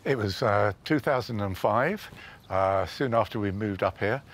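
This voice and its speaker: A man's voice: on the low side, unhurried. An older man, a retired English vicar, chatting genially in a documentary interview recorded on location with a lapel mic. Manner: chuckling, genial. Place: garden and church, on location.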